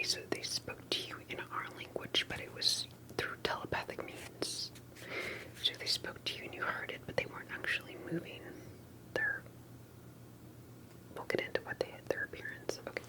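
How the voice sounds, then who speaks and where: A woman speaking in a breathy whisper, gently and deliberately asking questions in an ASMR roleplay interview. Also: rough recording. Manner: soft-spoken, deliberate, questioning.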